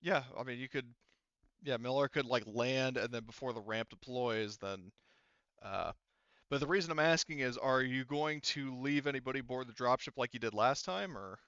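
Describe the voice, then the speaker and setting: A man acting as game master in a casual tabletop roleplaying session, narrating and organizing in a halting way as he thinks aloud. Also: medium pitch, fairly bright tone, smooth, no echo, dull audio.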